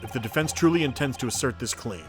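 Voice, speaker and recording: A man's voice: medium pitch, expressive, fast, lightly acted. A man playing a stern, formal judge presiding in a video game dub, with an authoritative manner. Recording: music under the voice.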